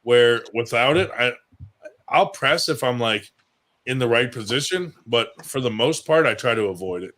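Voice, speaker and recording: A man's voice: medium pitch, lively intonation, moderate pace, fairly bright tone. A middle-aged man in a casual, rambling chat, swearing freely as he talks through his technique. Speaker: arm wrestler on a livestream show.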